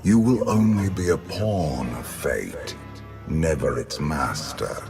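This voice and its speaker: A man playing an ancient, menacing emperor in a video game, speaking in a deliberate, pompous and condescending manner. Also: a bass voice, sing-song, gravelly, over music, heavily acted. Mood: grave, contemptuous. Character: ancient, menacing immortal emperor, imperious and contemptuous father. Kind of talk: theatrical video game villain acting.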